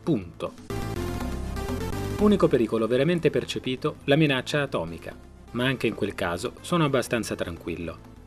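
A man's voice: mid-range voice, animated, normal speed, soft background music. A man in his late thirties from Rome, reading scripted first-person radio narration. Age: late 30s. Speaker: documentary author and narrator.

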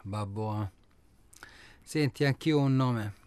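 A man's voice: mid-range voice, slow pace, darkish tone, smooth, dry recording, lightly acted. An actor playing a blunt, guarded male stranger in a radio drama, speaking in terse questions and short replies. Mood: irritated, curt. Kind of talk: naturalistic radio drama acting.

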